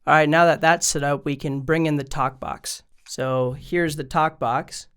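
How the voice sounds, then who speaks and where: Smooth, higher-voiced man giving a casual tutorial. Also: fairly even intonation, measured pace, a bit bright. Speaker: music gear video maker and presenter. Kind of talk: casual tutorial vlog, explaining step by step.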